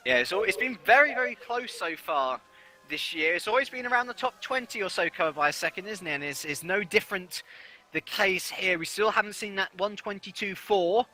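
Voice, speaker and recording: A man's voice: sits high, animated, a little fast, bright, forceful tone. A man commentating live on a sim racing qualifying session, fluent and analytical as he runs down the standings.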